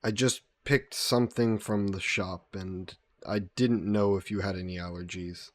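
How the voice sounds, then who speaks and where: A man playing a gentle, guilt-burdened vampire caretaker in an intimate audio roleplay, speaking politely and formally with some hesitation. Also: sits low, steady tone, unhurried, no echo. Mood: attentive, caring. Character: gentle, guilt-burdened male vampire employer caring for a guest.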